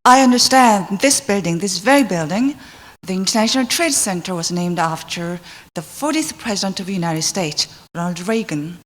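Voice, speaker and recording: A woman working as a consecutive interpreter, rendering a speech into English with careful, slightly halting phrasing. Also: mid-range voice. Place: large conference hall. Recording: conference hall reverb.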